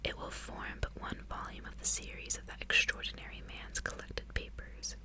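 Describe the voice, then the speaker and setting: A woman reading in a soft, breathy ASMR whisper, with slow, careful, hushed delivery, close to the microphone. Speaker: ASMR host reading a book aloud.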